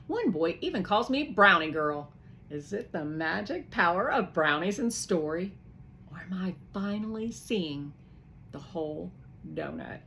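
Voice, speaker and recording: A woman reading a children's novel aloud with lively expression, pausing to explain words to young listeners. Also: lowish voice, gravelly, slight background noise. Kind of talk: expressive read-aloud for kids.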